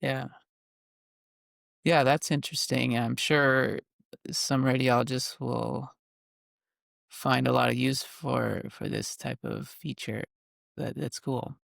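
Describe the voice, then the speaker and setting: Male interviewer, very creaky mid-pitched voice, conversational, recaps and questions, dry sound. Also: slow, pauses often, fairly bright tone, dry recording. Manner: recaps and checks points with questions. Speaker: podcast host interviewing a guest. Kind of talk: conversational interview about technology.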